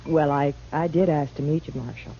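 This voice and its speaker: Dark mellow tone, young frontier saloon woman, coy, gentle, muffled old broadcast. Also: low-pitched, steady tone, a little fast. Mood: coy, concerned. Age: young adult. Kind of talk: 1950s western radio acting. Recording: muffled old radio, faint noise.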